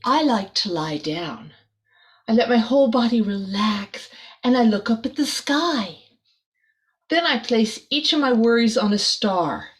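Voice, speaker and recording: A kindly, dreamy royal astronomer from a children's storybook, read by a woman. The voice is fairly high, calm and reassuring.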